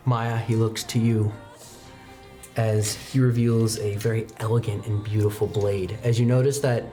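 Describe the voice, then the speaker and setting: Theatrical game master over music, low-key pitch. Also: flat delivery, measured pace, warm tone. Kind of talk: improvised dramatic narration of a tabletop game. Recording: music bed under the voice.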